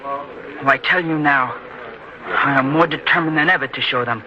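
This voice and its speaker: An actor playing a proud, touchy young farmer in an old-time radio drama, intense and defensive in delivery. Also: male voice, mid-range voice, moderate pace, slight background noise, close to an everyday voice. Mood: stubborn, determined. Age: young adult. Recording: lo-fi radio sound, crowd behind.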